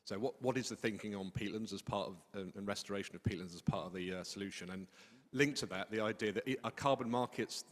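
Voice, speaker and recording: A man's voice: on the low side, fast. A man in the audience at a conference asking a long question, rephrasing himself as he goes. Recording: echoey lecture hall.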